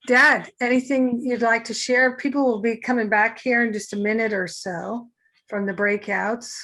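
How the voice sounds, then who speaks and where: A woman minister guides an online service, inviting others to share with short, encouraging prompts. Her voice sits somewhat high. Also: steady tone, normal speed, a bit bright, low-quality recording. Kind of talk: hosting an online gathering.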